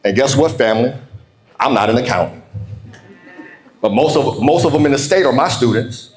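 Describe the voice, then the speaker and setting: An American man in his forties giving a motivational keynote, telling stories emphatically with dramatic pauses and colloquial call-outs to his audience. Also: mid-range voice, echoey room. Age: 40s. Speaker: keynote speaker, business coach.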